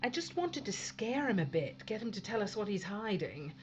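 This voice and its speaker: A woman's voice: mid-range voice, big pitch swings, moderate pace, lo-fi sound, slight background noise, natural voice. A woman playing a blunt, authoritative female spaceship captain in an audio drama. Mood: wary, determined. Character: tough, commanding female captain of a failing spaceship. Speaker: actor playing a ship's captain.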